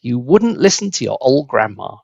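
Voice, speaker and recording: A man voicing a cantankerous, boastful old grandmother in a storybook, shouting in a strident, scolding way. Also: medium pitch, big pitch swings, normal speed, gravelly, slightly rough, dry recording, highs cut off, full character voice. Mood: smug. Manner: scolding, mocking.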